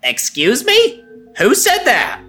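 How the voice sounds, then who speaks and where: A man's voice: extremely high pitch, huge pitch swings, takes it slowly, sharp tone, soft background music, pitched above the performer's own voice, exaggerated voice. A man voicing a fussy, polite captive pet who is puzzled by a stranger's manners. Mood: bemused. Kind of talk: storytelling podcast character voice.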